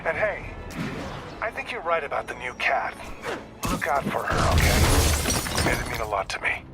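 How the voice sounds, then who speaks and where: A man's voice: medium pitch, very animated, normal speed, over loud music. An American man playing a seasoned, shrewd police detective in a video game, plainspoken and guarded. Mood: somber, grateful. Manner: plainspoken, folksy, probing. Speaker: video game supporting character. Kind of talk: video game voice acting, phone conversation.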